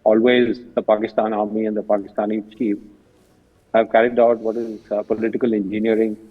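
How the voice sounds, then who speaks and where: A distant, phone-like line carries an older Indian-accented man with a lowish, husky voice. He comments as a guest analyst, halting often as he goes. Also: level tone. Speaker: retired general, guest analyst. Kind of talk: interview commentary. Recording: muffled phone-like remote call, distant.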